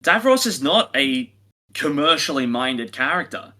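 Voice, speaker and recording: A man's voice: on the high side, big pitch swings, a little slow, bright, forceful tone. A man co-hosting a review podcast in casual, opinionated discussion, emphatic, sarcastic and prone to swearing. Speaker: podcast co-host reviewing audio dramas.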